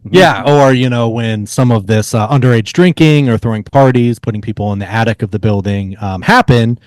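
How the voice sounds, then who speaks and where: A man's voice: mid-range voice, a little fast, dry recording. Man casually telling stories, dry close sound. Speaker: podcast co-host. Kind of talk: casual sports storytelling.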